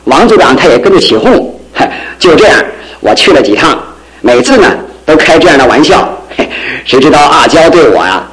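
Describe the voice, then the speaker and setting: A man acting in a Chinese radio drama as an excitable, lovestruck, boastful office clerk, with an animated, exclamatory delivery. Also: a little high, fairly quick, low-quality recording. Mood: bashful, chatty.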